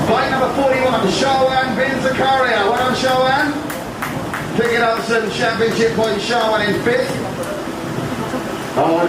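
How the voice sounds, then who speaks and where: A man announcing podium results and interviewing riders at a motorcycle race, energetic and chatty. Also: sits high. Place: outdoor race track. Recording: echoey, far from the mic, with noise behind.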